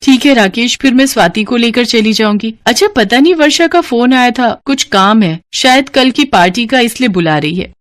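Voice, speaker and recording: A woman voicing a mild, accommodating poor housewife and mother in an animated moral story. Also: a little high, moderate pace, hardly any pauses, dry recording, natural voice.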